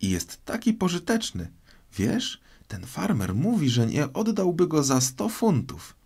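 A man voicing a polite, eager little girl in a storybook, chattering earnestly and quick to apologise. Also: on the high side, big pitch swings, a little mellow, voice pushed up, exaggerated voice. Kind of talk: storybook character voice.

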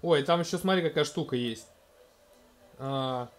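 A man in his thirties casually commenting on a video game in a gentle, coaxing way, talking to a small child beside him at home. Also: a little high, measured pace. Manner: gentle, coaxing, talks to the child, narrates what is on screen. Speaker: let's-play host playing with his toddler.

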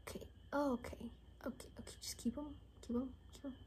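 Softly whispering young woman, mellow ASMR. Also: high voice, fairly even intonation. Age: young adult. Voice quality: soft, often whispered. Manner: hushed, unhurried, rambling, repeating words. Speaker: ASMR creator playing a caretaker. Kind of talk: ASMR roleplay, improvised. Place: small bedroom, indoors.

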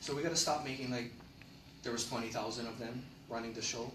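A man's voice: medium pitch, steady tone, fast, background noise. Man, middle-aged, emphatic lecturer, rhetorical questions, roomy echo, distant mic. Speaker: lecturer on land history. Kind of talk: classroom lecture with audience questions. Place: classroom with projector screen. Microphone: far camera. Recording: echoey room from far off.

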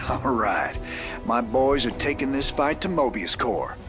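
An actor playing a wry, gruff middle-aged space-marine commander in a game, with informal, folksy soldier talk. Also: male voice, mid-range voice, very animated, slow pace, echoey room. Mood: confident. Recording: loud dramatic music behind the voice.

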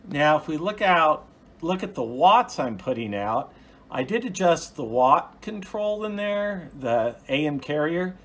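A man giving an informal, hands-on explanation as a hobbyist video host, plain-spoken and methodical, recorded on a phone at home. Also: mid-range voice. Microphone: phone, off camera.

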